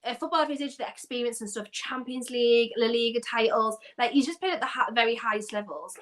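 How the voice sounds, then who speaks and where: A young woman from North East England chatting casually about football as a guest, animated and quick to laugh. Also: fairly high pitch. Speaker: guest fan.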